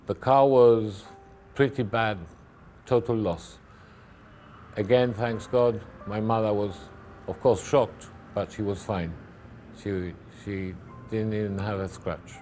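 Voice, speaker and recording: Reflective man with soft guitar underneath. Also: lowish voice, steady tone. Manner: reflective, halting with repeated words. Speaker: family business owner. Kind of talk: documentary interview.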